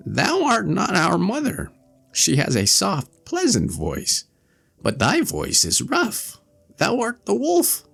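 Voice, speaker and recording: A man voicing seven timid little goat kids in a read-aloud storybook, with a high childlike pleading voice. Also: wildly swooping pitch, slow, gravelly, a bit bright, husky, soft background music, exaggerated voice.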